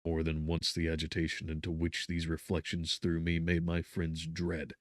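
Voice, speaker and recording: A man's voice: extremely low pitch, monotone, normal speed, no room sound, studio quality. A man narrating a gothic audiobook in a solemn, theatrical manner. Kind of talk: dramatic scripted narration of gothic novel.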